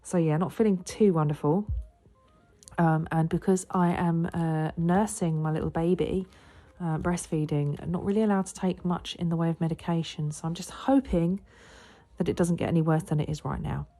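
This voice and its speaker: Croaky, stuffy-nosed woman hosting a podcast. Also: sits low, fairly even intonation, moderate pace, mellow. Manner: rambling, many ums and self-corrections. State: croaky with a sore throat and blocked nose. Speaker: podcast host and astrologer. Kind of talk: casual solo podcast chat.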